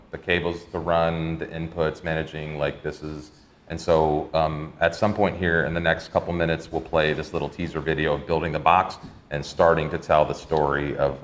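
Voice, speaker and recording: Deep-voiced man hosting a live stream. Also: little pitch movement, normal speed, slight background noise. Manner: chatty, reads viewer comments aloud. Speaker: host. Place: indoor co-working space.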